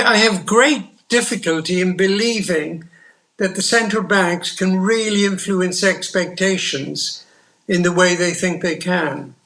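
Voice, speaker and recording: Slow, bright-voiced older man weighing words. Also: sits high, creaky. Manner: measured and deliberate, searching for words. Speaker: panel guest, economist. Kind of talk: panel discussion.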